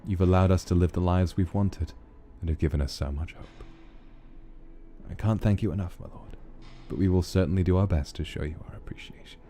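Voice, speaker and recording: A man's voice: low voice, normal speed, mellow, soft background music, natural voice. A man voicing devoted, grateful clan members who speak reverently to their lord. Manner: reverent and formal.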